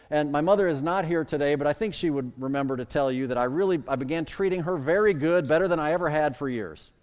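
A middle-aged man from the Chicago suburbs preaching a sermon as pastor, with emphatic swells and drops in his delivery. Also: on the high side. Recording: long hall reverb.

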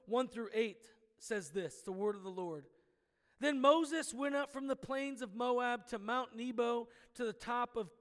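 Scratchy-voiced pastor in a reverberant hall. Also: male voice, very high-pitched. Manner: storytelling, animated, shouting in places. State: slightly hoarse from yelling. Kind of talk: sermon.

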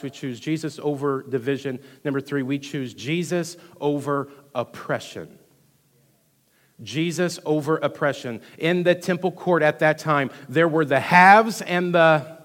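A man with a Texan accent preaching a sermon as pastor, rushing through some lines and dropping to hushed asides between louder, emphatic ones. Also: on the high side. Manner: animated preaching, swings from loud emphasis to hushed asides, quick delivery. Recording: long hall reverb.

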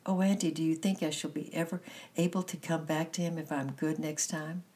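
A woman's voice: sits low, fairly even intonation, fairly quick, dark tone, voice pushed up, lightly acted. A woman reader voicing an impulsive, emotional young girl in a storybook, pleading and impetuous. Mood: sobbing, anxious.

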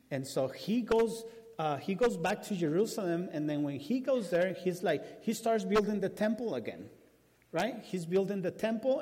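A man with a Spanish accent, whose first language is Spanish, preaching a sermon and telling a story with animated restarts. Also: high voice. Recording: long hall reverb.

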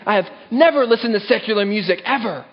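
A man's voice: high voice, expressive, fast, lo-fi sound, pitched above the performer's own voice. A man voicing a boastful, self-righteous male churchgoer, bragging with smug emphasis. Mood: smug boasting.

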